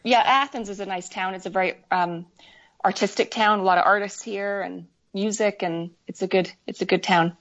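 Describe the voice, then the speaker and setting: A woman's voice: mid-range voice, fairly even intonation, moderate pace, fairly bright tone. Muffled, hesitant woman from Wales and Canada. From Wales and western Canada. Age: 40s. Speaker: needlework artist, interview guest. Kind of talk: casual chat.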